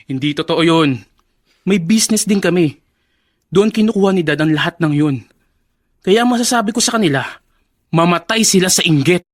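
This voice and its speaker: A smug, privileged Filipino politician's son. His fairly high voice is dismissive, in the style of radio drama. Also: animated, moderate pace, pauses often.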